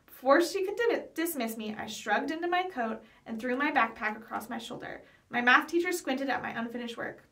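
A woman's voice: high-pitched, animated, moderate pace, few pauses, a bit bright. A young adult woman reading a children's chapter book aloud expressively, with small stumbles over words. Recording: voice picked up from some distance.

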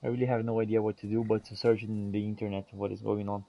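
A man's voice: on the low side, little pitch movement, quick pace, vocal fry, dry recording, muffled recording. A man role-playing aloud as he plays a fantasy game, mixing archaic theatrical phrases with casual asides. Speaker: gameplay streamer role-playing. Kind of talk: in-character role-play commentary on a fantasy game.

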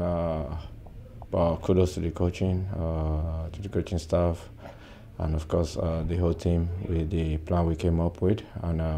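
A young man in his twenties, a footballer giving a soft-spoken post-match interview with many "uh" pauses, recorded indoors close to the mic. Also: sits low, a little hiss or hum. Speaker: football player. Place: indoor press backdrop at a stadium.

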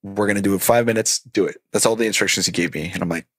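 A man in his thirties, originally from Colombia, a stand-up comedian telling stories in a casual interview, animated and laughing often as he acts out what people said. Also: on the low side, fast, breathy edge, dry recording. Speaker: podcast guest, stand-up comedian.

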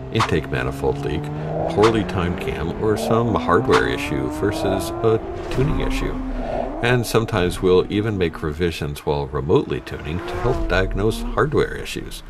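Deep-voiced middle-aged man explaining tech. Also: normal speed. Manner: steady, explanatory with dry jokes. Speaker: automotive tuning instructor. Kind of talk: scripted technical explainer. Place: dark studio set with purple lights. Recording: music bed underneath.

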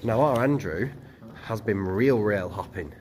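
A man's voice: on the low side, animated, a little slow. A young man with a head cold, chatting and pointing things out while exploring a railway tunnel. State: bunged up with a cold. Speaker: urban explorer. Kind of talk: casual chat while exploring. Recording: loud tunnel noise.

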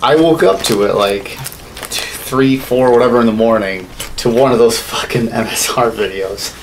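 A man's voice: medium pitch, normal speed, a little hiss or hum, rough recording. A man in his thirties chatting casually as a podcast co-host, irreverent and swearing heavily. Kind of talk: casual chat. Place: small home studio room, indoors.

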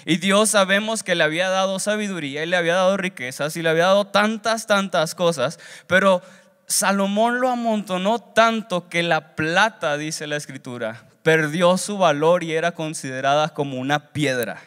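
A man's voice: high-pitched, moderate pace, fairly bright tone, smooth. A young man preaching a sermon in an earnest, instructive way, repeating phrases for emphasis. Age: young adult. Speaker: seminary student preacher. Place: large church auditorium stage. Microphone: handheld wired microphone. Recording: reverberant hall through PA.

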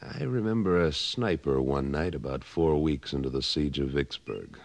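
A man playing a tough, steady cattleman and war veteran in an old-time radio western, firm and terse. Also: a bass voice, normal speed, vocal fry, full character voice. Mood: easygoing.

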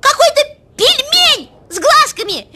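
Very high, dramatic piglet girl. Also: sing-song, measured pace, heavy background noise, heavily acted. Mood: tearful self-pity. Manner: theatrical, whiny self-pitying. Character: vain young girl piglet, dramatic and easily hurt. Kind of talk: cartoon voice acting.

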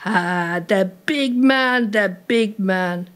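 A woman's voice: medium pitch, extremely slow, smooth. A woman voicing a cantankerous old Irish woman in a story, indignant and scolding in an Irish accent. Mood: furious, scornful.

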